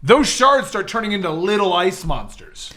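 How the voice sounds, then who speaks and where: A man's voice: high-pitched, sing-song, moderate pace, bright, forceful tone. A man acting as game master, narrating a tabletop game in casual talk.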